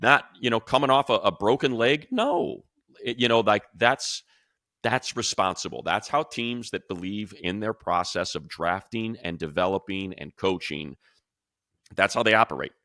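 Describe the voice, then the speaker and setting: A man's voice: lowish voice, expressive, moderate pace, pushed and bright, no echo, clean studio sound. A man hosting an unscripted sports talk show, speaking emphatically and repeating phrases to drive points home.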